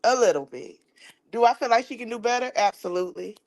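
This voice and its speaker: A woman caller chatting casually in an agreeable, relaxed way. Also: a little high, fast, dry recording, rough recording. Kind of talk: casual chat.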